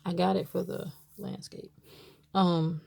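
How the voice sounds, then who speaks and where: A woman's voice: fairly low pitch, flat delivery, takes it slowly, creaky, mellow. A woman from Baltimore chatting casually to camera, rambling with many fillers as she shows items. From Baltimore, USA. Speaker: tarot deck reviewer.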